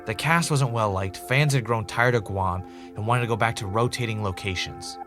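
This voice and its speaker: A man's voice: fairly low pitch, normal speed, music in the background, lightly acted. A man playing an anxious, obsessive fan in a fiction podcast, telling the story in an earnest, rambling way. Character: obsessive, anxious male fan investigating a cancelled show. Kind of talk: fiction podcast narration, conversational.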